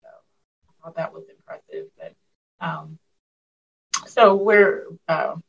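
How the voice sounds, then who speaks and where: A woman in her fifties from Arkansas tells her story in an unhurried interview, circling back with frequent fillers. Her voice is a little low and breathy. The sound comes through a dull, muffled call line. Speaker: school superintendent, guest. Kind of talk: casual interview over a call.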